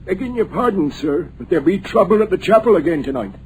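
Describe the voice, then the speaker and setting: A man's voice: high voice, big pitch swings, fast, a little mellow, slight background noise, poor audio. An actor playing a superstitious old male groom, in 1940s radio-drama style. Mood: earnest, alarmed. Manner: dialect-heavy, earnest, rising to alarm.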